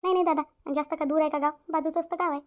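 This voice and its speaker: A woman's voice: high voice, fairly even intonation, very fast, close to an everyday voice. A woman voicing an anxious, polite young newlywed bride in a cartoon family drama, with deferential questions and a Vidarbha Marathi accent. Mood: hesitant, concerned. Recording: dull lo-fi audio.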